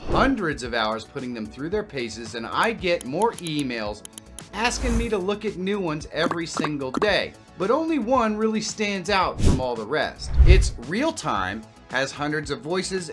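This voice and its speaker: A man in his fifties presenting a software tutorial as a video creator, explaining in a casual, direct way. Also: on the high side. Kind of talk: tutorial narration to camera and screen. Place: small indoor home studio. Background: music.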